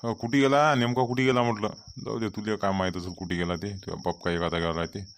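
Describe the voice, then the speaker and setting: A man's voice: fairly low pitch, steady tone, fast, slight background noise, natural voice. A man playing a harried, fretful village farmer in a comic cartoon, muttering complaints in a Vidarbha Marathi accent. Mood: resigned.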